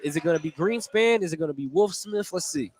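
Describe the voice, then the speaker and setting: Higher-voiced man calling basketball over loud noise. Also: expressive, quick pace. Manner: clipped, running descriptions of the action. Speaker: play-by-play radio commentator and lead host. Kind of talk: live basketball commentary. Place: indoor school gymnasium during a game. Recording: noisy live gym, clicks and ball bounces. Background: loud game noise, bouncing basketball.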